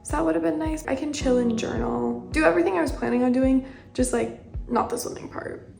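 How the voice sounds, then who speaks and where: A woman's voice: high voice, a little fast, vocal fry. A young woman narrating her week in a casual, upbeat vlog, full of "like" fillers. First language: not French. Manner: chatty and upbeat, many "like" fillers. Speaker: vlogger. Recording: loud music under the voice.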